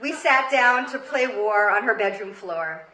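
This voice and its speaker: A woman's voice: medium pitch, expressive, measured pace, bright, forceful tone. An American woman in her thirties telling a comedic story live on stage, with a dramatic, emphatic delivery. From Queens, New York, United States. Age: 30s. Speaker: comedy storyteller, show host. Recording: roomy live stage recording, faint noise.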